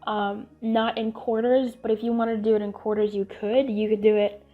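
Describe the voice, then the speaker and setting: A woman's voice: on the high side, little pitch movement, normal speed, phone-quality sound. A woman hosting her channel, with a sniffly, congested voice, chatting casually through a tutorial and rambling with fillers and restarts. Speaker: channel host.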